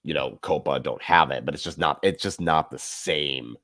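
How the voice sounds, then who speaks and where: A man appearing as a guest on a soccer podcast, talking in a thoughtful, measured way and restating his points as he goes. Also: rumbling low, a bit bright. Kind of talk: casual soccer podcast chat.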